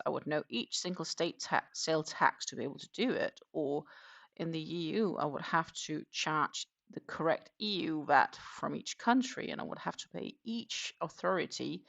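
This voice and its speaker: Danish woman chatting on a crochet stream, bright voice, bit of vocal fry, lots of ums. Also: deep voice. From Denmark. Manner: chatty, musing aloud with fillers and restarts. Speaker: crochet livestream host. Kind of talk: casual chat while crocheting.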